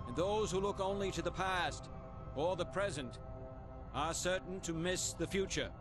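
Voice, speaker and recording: Game cinematic: male actor as unflappable president, high-set voice, grand measured speeches over loud score. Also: close to an everyday voice. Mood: solemn. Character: famous 1960s American president, stately, rallying, unflappable leader. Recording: loud cinematic music behind the voice.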